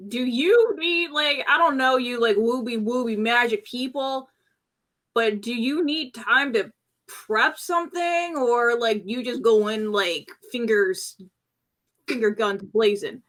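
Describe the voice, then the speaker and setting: A woman's voice: a little high, slow pace, a bit bright. A woman chatting through a tabletop role-playing game as a player, casual, slangy and teasing as she improvises.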